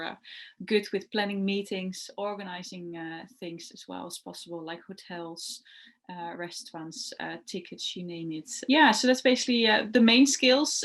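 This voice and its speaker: A young woman whose first language is Dutch, answering interview questions about her job in a hesitant way, with frequent fillers and restarts. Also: lowish voice. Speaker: translation rights executive.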